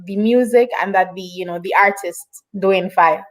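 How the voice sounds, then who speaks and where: A woman's voice: mid-range voice, moderate pace. A woman hosting an online show, interviewing in a casual, conversational and encouraging way.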